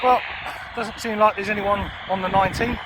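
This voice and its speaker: High-pitched man, windy outdoor recording. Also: fairly even intonation, moderate pace. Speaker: amateur radio vlogger and presenter. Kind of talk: casual hands-on vlog commentary with radio calls. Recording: windy outdoor recording, loud wind noise.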